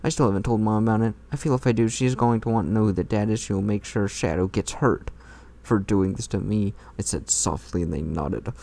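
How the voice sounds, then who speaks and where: A man's voice: fairly low pitch, fairly quick, creaky, warm tone. A man reading fan fiction aloud in a mocking, irreverent way, tossing in crude comments of his own.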